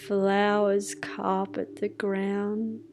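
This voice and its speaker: A woman guiding a scripted meditation in a hushed, soothing manner, leaving long pauses between phrases. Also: medium pitch, flat delivery. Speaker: meditation guide. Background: music with singing bowls.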